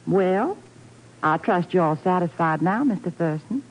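A woman's voice: fairly low pitch, lively intonation, unhurried, rough recording. An actress playing a folksy, defensive woman in a 1950s radio drama. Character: feisty, folksy Southern woman, defensive and protective.

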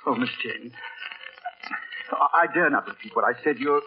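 An actor playing an amiable, polite young English gentleman, eager and easily flustered, in 1940s radio drama style. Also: mid-range voice, moderate pace, slight background noise, close to an everyday voice. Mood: flustered, smitten. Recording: muffled vintage radio broadcast with music.

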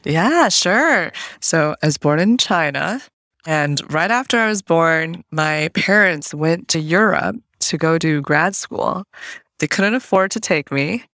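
A woman's voice: sits low, animated, takes it slowly, a bit bright, dry recording, clean studio sound. A woman in her early thirties from Colorado, chatting as a guest on a podcast and laughing lightly between thoughts. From United States (Colorado). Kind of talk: casual interview chat.

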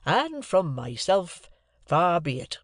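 A storyteller voicing a slow, kindly country blacksmith who talks in halting, rambling phrases with mangled grammar. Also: mid-range voice, sing-song, no echo, clean studio sound, full character voice. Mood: earnest, halting.

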